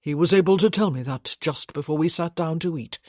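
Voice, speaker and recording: An audiobook narrator voicing a composed young aristocratic husband who speaks in formal, measured sentences. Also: mid-range voice, darkish tone, no echo, studio quality, close to an everyday voice. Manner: formal, measured, slightly raised.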